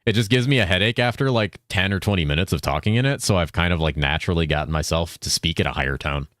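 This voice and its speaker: A laid-back young man chats with his viewers while he plays, rambling and swearing easily. His voice is very low, though he keeps it a little above his natural depth. It sounds close and dry, with almost no room around it. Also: quick pace, fairly bright tone, no echo. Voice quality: deep voice that he holds a bit higher than his natural one. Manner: laid-back, rambling, swears a lot, reads chat aloud. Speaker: game streamer. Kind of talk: casual live-stream chat while gaming.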